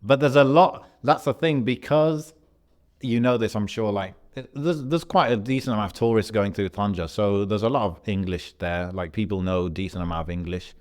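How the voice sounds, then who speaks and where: A man from Algeria, a podcast co-host, telling a travel story in a relaxed, rambling way with slang and fillers. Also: on the low side, normal speed. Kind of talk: casual podcast chat.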